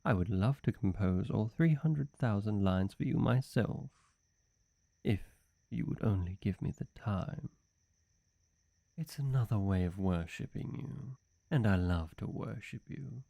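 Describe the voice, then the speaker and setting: A man plays a devoted demon servant with a dark, mellow, slightly gravelly voice. He is suave and flirtatious, fawning over his mistress in formal, silky phrases, and he takes his time in this intimate roleplay monologue. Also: lowish voice, animated, a little slow, no echo, exaggerated voice. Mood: flirty, adoring. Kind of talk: scripted roleplay monologue addressed to the listener.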